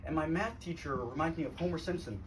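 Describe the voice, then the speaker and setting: A teenage man from Los Angeles doing a deadpan live stand-up set, often laughing at his own jokes. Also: fairly high pitch, fast, crowd noise. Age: teens. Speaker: stand-up comedian. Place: comedy club stage, live audience. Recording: noisy live room, mic at a distance.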